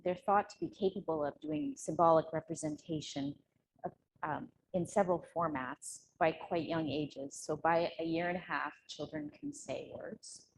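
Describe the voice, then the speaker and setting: Low, creaky-voiced woman presenting research. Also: a little mellow, slight background noise, low-quality recording. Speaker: academic speaker at a workshop. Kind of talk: academic conference presentation. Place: live workshop room, relayed to video call.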